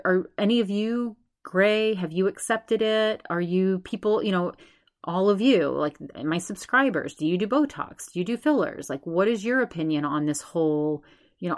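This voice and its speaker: A woman in her forties hosting a solo podcast, talking in a chatty, rambling way and speaking straight to her subscribers. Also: lowish voice. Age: 40s. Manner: chatty, rambling, animated, addresses subscribers directly. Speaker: podcast host.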